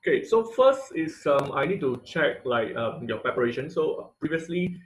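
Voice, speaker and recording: A man's voice: fairly high pitch, sing-song, moderate pace. Man teaching online, echoey room. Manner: hesitant, many uh and um fillers. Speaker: online class instructor. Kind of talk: online lecture over slides.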